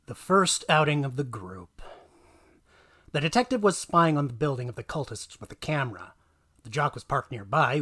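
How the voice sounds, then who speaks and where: Male narrator for a dramatic story reading, mid-pitched voice, theatrical, hushed asides into punched lines. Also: breathy edge. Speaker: reader of a tabletop gaming tale.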